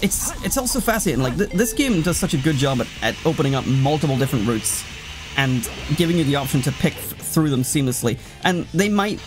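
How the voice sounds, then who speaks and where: A man giving casual, sweary let's play commentary, rambling and often cutting himself off as he reacts to the game. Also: on the high side. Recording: loud game music behind. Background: video game music.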